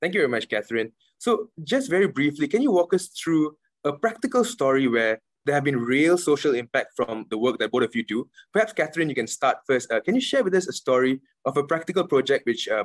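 A man's voice: mid-range voice, no room sound. Male moderator, middle-aged, polished formal delivery on a video call; dry, close audio. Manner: measured, formal questions. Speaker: panel moderator. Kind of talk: moderating an online panel. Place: home room on a video call.

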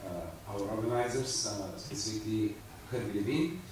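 A man in his fifties giving opening remarks at a conference, speaking haltingly with many fillers into a handheld microphone in a large hall. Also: fairly low pitch, a little mellow. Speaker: conference opener, funding agency official. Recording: echoey hall, picked up from a distance, audible room noise.

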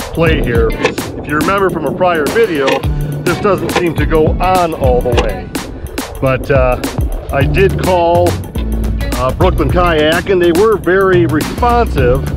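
A man casually reviewing a fishing kayak while out on the water, talking things through with lots of fillers. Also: a little high. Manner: easygoing, lots of uh fillers, explains as he goes. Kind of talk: casual outdoor review vlog. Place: on a kayak out on open bay water.

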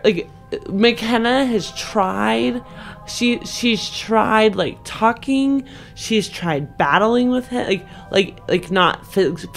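A young woman in a casual, emphatic discussion of a game story, speaking informally with lots of "like". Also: mid-range voice. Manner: informal, emphatic, frequent filler "like". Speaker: podcast panelist and tabletop game player. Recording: music playing behind the voice. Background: background music.

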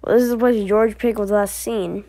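A woman doing amateur voice acting, playing all the characters of an animated story herself. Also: medium pitch, moderate pace.

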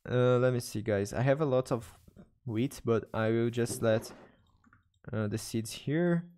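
A man's voice: mid-range voice, a little mellow, slight background noise. Young Brazilian man streaming in English. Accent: Brazilian Portuguese. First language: Portuguese. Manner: halting non-native English, restarts and searches for words. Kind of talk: casual live-stream chat while gaming. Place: small room at a desk. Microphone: studio mic on arm, headphones.

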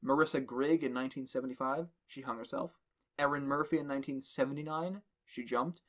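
A man's voice: mid-range voice, steady tone, brisk. A young man hosting a podcast, reading a horror story aloud and stopping to joke with friends. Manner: reads the story in a plain voice, breaks off to joke and laugh. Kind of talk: casual reading and banter with friends.

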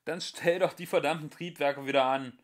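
A man voicing a brash, irritable male ship captain in an audiobook, snapping loudly. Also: fairly high pitch, fairly even intonation, normal speed, close to an everyday voice. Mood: snappish. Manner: snapping, gruff shouting.

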